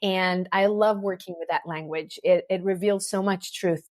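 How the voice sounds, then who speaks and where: A woman's voice: on the low side, steady tone, normal speed, darkish tone. A woman with an Israeli accent, a native Hebrew speaker, talking earnestly as a podcast guest and explaining ideas through analogies, often restarting phrases and checking in with "right?". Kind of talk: explanatory interview talk.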